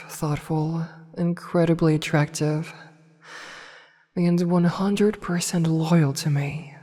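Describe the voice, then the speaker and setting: A woman performs an evil empress in a fantasy ASMR roleplay, her voice low, mellow and breathy, often sinking toward a whisper. She speaks with slow, possessive authority and a seductive, teasing edge. Long reverb surrounds her, as in a great hall. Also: full character voice. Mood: confiding, coy. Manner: slow, teasing, imperious, deliberate.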